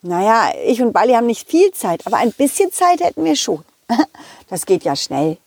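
A woman's voice: a little high, very animated, moderate pace, darkish tone, no room sound. A woman voicing a cheerful, loyal male dog who tells his own adventure to children in a chatty, giggly way. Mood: kind. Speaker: storyteller. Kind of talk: children's audio play narration.

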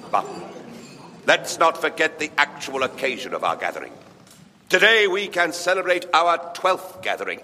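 An actor playing an aristocratic vampire prince in an audio drama, giving a pompous, ceremonious speech of welcome. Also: male voice, high voice, bright, forceful tone, full character voice. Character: aristocratic vampire prince, grandly welcoming his secret gathering. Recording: reverberant hall, faint background noise.

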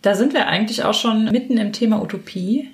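A woman's voice: mid-range voice, fast. A woman co-hosting a podcast in a conversational style. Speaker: podcast co-host.

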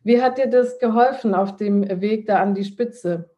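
A woman conducts an interview in a mellow, warm voice. Her questions are friendly and hesitant, with plenty of fillers between them. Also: mid-range voice, normal speed, muffled recording.

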